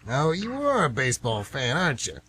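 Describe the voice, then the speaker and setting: A man's voice: medium pitch, wildly swooping pitch, a little fast, sharp tone, slightly rough, exaggerated voice. A man playing a folksy, nostalgic older baseball fan who rambles emphatically in an amateur radio play. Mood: nostalgic, animated.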